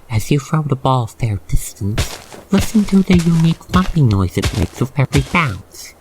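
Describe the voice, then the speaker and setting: Male roleplay narrator, gravelly creak, mid-range pitch, gentle scripted storytelling addressed to the listener, faint noise behind. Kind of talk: scripted second-person ASMR roleplay narration.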